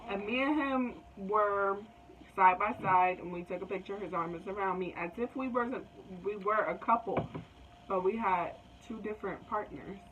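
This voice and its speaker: A young woman casually recounting a memory in a soft, wistful way. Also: medium pitch, normal speed, far from the mic. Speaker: co-host, girlfriend. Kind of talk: casual storytelling. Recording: off-mic, thin phone-like sound, faint hiss.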